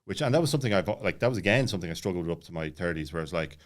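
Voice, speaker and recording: A man in his late thirties with a lowish voice. He talks rapidly and casually, like a podcast guest telling his own story, often looping back and restarting his sentences. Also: lively intonation. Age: late 30s.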